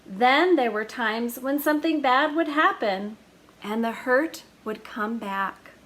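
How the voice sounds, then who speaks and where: Lady reading a kids story, high voice, real slow and deliberate. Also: expressive, fairly bright tone. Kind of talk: reading a children's storybook aloud.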